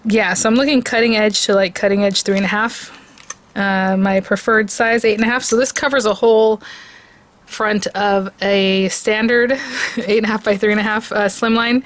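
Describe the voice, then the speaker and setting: A hoarse woman hosting a crafting video, chatting excitedly as she reviews and tries out new dies. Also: medium pitch, moderate pace. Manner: chatty and excitable, gushing over products. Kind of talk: casual product review and crafting demo.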